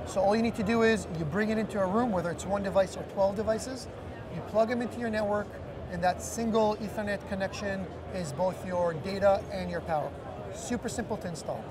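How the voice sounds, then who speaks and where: A man's voice: high voice, a little fast, crowd noise. A man in his thirties explaining a product as an executive being interviewed at a busy trade show booth. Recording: loud busy trade show floor noise.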